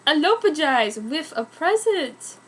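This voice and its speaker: A woman's voice: high voice, sing-song, unhurried, fairly bright tone, heavily acted. A woman voicing a lovesick, tearful young female vampire in a pleading, overwrought manner. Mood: tearful remorse.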